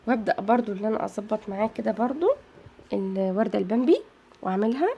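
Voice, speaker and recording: A woman's voice: mid-range voice, racing, gravelly, mellow, husky. A woman with an Egyptian Arabic accent hosting a chatty craft tutorial and talking straight to her viewers. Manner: chatty, addresses viewers as girls. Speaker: craft channel host.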